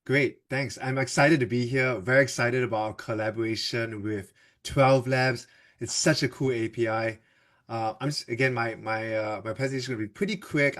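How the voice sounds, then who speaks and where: A man's voice: mid-range voice, fairly bright tone. Man from Singapore, casual tech presenter. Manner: loose and conversational, with frequent fillers and restarts. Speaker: developer advocate presenter.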